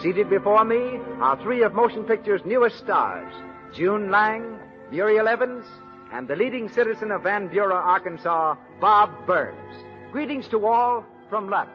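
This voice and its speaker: A man's voice: high-pitched, animated, a little slow. An American man announcing in a formal, polished 1930s radio style and reading sponsor messages as a showman would. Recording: dull 1930s radio recording, music behind.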